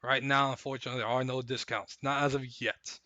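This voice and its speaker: A man hosting a live stream, chatting casually and recapping fights in a rambling, hyped way while his voice goes hoarse. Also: mid-range voice, level tone, fast, pushed and bright, no room sound, dull audio. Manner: rambling, hyped, repeats himself. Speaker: stream host.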